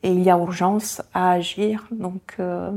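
Italian-accented woman, mellow, hesitant. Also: lowish voice, flat delivery, unhurried. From Italy. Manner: reflective, many hesitations with euh. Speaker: guest, founder of a language association. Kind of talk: conversational interview.

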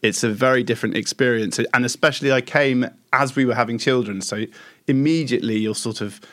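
A man's voice: mid-range voice, brisk, no echo, studio quality. A man on a podcast panel speaking thoughtfully and hesitantly, often restarting his sentences. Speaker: podcast guest. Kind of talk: casual podcast chat.

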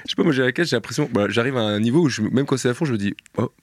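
A man hosting a podcast, chatting casually, laughing often and quick with short agreements. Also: mid-range voice, no room sound, clean studio sound. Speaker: podcast host. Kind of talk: casual interview chat.

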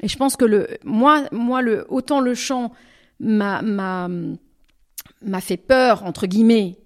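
A woman singer answering interview questions in a thoughtful way, pausing and rephrasing often as she searches for words. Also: a little high. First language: French. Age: over twenty. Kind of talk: reflective interview talk.

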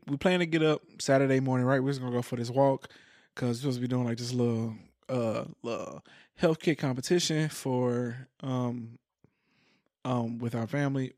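Young Texan man, podcast host, easygoing and profane, mid pitch, dry recording. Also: fairly even intonation, a little fast, darkish tone, no echo. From Houston, Texas. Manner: laid-back, swears freely, laughs often. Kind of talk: casual chat.

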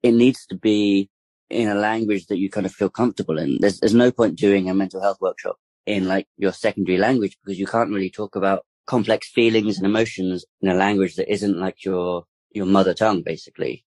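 A man's voice: deep voice, steady tone, a little fast, darkish tone, dry recording. A man speaking as a guest campaigner in a casual interview, rambling with lots of "like" and "kind of". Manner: rambling, full of fillers and restarts.

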